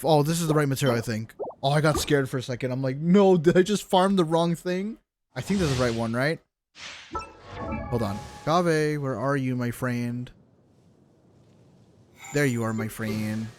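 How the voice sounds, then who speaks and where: A man's voice: medium pitch, moderate pace, long pauses, background noise. A man doing casual live gameplay commentary as a streamer, thinking aloud and talking to himself. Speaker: game streamer.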